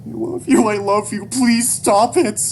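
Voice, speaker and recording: A man voicing a distraught young male furry animal, pleading desperately in a shrill, strained voice in a dramatic story. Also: slow pace, mellow, a little hiss or hum, close to an everyday voice.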